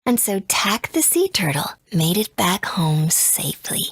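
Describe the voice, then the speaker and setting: A woman voicing a gentle, soothing young mother in a video game, with a breathy voice. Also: mid-range voice, sing-song, a little slow, no room sound, studio quality, exaggerated voice. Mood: tender. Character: gentle, loving young mother telling a story.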